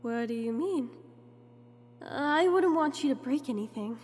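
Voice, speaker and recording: A woman's voice: high voice, animated, measured pace, darkish tone, slight background noise. A woman playing a young gifted heroine in an audio drama, earnest and a little unsure, telling her story in the first person. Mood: hesitant, unsure. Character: hesitant young gifted woman, apologetic, narrating her own fight.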